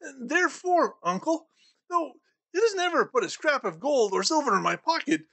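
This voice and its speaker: A man doing a high, bright eager young nephew. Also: very animated, moderate pace, gravelly, full character voice. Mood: earnest. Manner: warm-hearted, pleading and emphatic. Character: cheerful earnest young man, kind nephew. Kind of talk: storybook reading.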